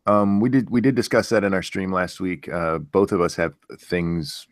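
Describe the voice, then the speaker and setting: A man's voice: low-pitched, steady tone, normal speed, no echo. A man hosting a live stream, chatting casually with dry, joking banter. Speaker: stream host.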